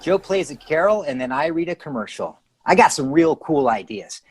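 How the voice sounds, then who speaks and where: A man's voice: a little high, normal speed, breathy edge. Casting: eager American teen boy, slangy schemer for radio sitcom, scratchy voice, bouncing intonation. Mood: proud salesmanship. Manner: excitable, boastful, slangy. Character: eager, cocky teenage son full of schemes. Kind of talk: live radio sitcom acting.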